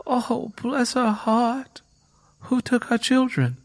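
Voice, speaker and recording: A man voicing a devout, worried young pregnant country woman who gently scolds in folksy speech, for an audiobook. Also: very high-pitched, steady tone, slow pace, voice pushed up, heavily acted. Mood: concerned, pitying. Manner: folksy rural vernacular. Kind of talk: audiobook character dialogue.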